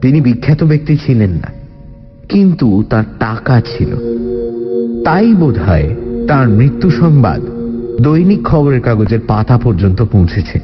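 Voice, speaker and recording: A man narrating a detective story in a dramatic, theatrical, expressive style. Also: mid-range voice, a little slow, pauses often, muffled recording, music in the background.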